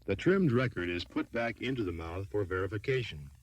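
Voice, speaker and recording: Male narrator for an instructional film: lowish voice, formal and measured scripted read. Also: lively intonation.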